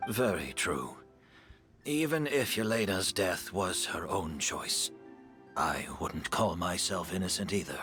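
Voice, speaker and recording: Remorseful frail game perfumer, earnest, animated inflection. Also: male voice, deep voice, a little slow, faint music behind, exaggerated voice. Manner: calm, confessing, earnest.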